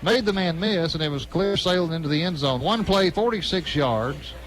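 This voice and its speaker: A man's voice: a little high, expressive, moderate pace, fairly bright tone, low-quality recording. An American man doing live play-by-play radio commentary of a football game in a clipped running style that builds on big plays. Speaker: play-by-play announcer. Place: stadium broadcast position at a high school game.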